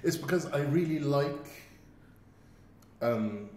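A man chatting casually as a podcast host, with a halting, hesitant way of talking. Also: on the high side, expressive, a little mellow. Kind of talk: casual chat. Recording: echoey room, faint background noise.

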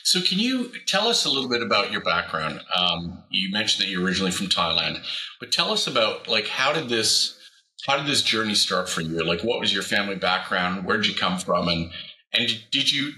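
A middle-aged man hosting a conversational interview, speaking in a halting, deliberate way. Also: on the low side, lively intonation, bright, forceful tone. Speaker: interview host. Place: indoor room, on a video call. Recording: roomy echo on video call.

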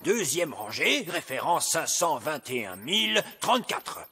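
A man's voice: on the high side, big pitch swings, measured pace, sharp tone, slightly rough, exaggerated voice. An actor playing a wise old elf librarian in a French cartoon, formal and patient as he explains. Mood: patient, helpful. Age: older. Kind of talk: French animated cartoon dialogue.

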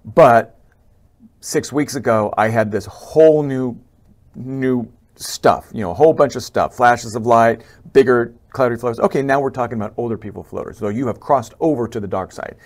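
A man's voice: mid-range voice, moderate pace, a little mellow. A middle-aged man, an eye doctor, explaining slides in a casual lecture with many fillers and restarts. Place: indoor office, webcam inset over slides.

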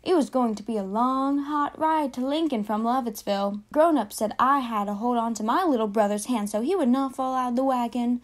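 Eager, wide-eyed old-time farm girl, played by a woman, high voice, for a storytelling piece. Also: natural voice. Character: excited little farm girl of long ago. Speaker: student performer. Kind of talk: dramatised oral-history monologue.

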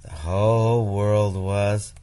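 A man reading a children's story aloud to a small child in a playful, expressive manner. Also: fairly low pitch, takes it slowly. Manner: playful, acts out animal sounds and emphatic words. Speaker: storybook reader.

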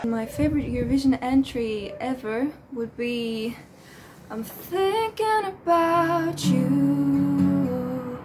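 A woman's voice: high-pitched, a little mellow, faint music behind. A young woman from Greece talking about her favorite song, half-singing a line. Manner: slow, dreamy, sings a line. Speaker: fan contributor.

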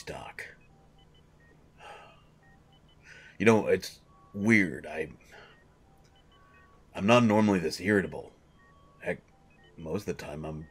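A man's voice: low voice, slightly rough, exaggerated voice. A man playing a grumpy, irritable coach who grumbles and complains defensively in an audio drama. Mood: irritated. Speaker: voice actor.

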